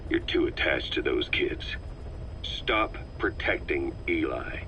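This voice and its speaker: A man's voice: very deep voice, lively intonation, slow, pushed and bright, dull audio, heavily acted. A man playing a cold, insistent military interrogator in a video game, speaking in a blunt, accusatory way. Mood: challenging. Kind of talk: scripted video game dialogue over radio. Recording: band-limited radio tape, clear background noise.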